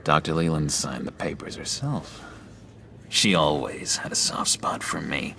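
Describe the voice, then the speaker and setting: An actor playing an eccentric, unsettling former asylum inmate in a video game, awkwardly eager, with halting, theatrical delivery that swings between giggly and brooding. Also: male voice, a bass voice, vocal fry, bright, forceful tone, a little hiss or hum, full character voice. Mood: wistful, unsettling.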